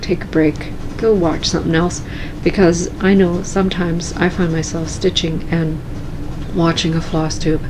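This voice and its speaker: Slow, calm-voiced woman vlogger. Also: on the low side, noisy background. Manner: calm, slow and soft-spoken. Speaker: cross-stitch vlogger. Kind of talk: casual chat.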